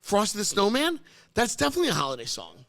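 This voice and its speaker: Hoarse, gravelly man with a bright voice. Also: fast talker. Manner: excitable, pitch jumps up when arguing. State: hoarse, losing his voice. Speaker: podcast host. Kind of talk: casual banter. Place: car service center.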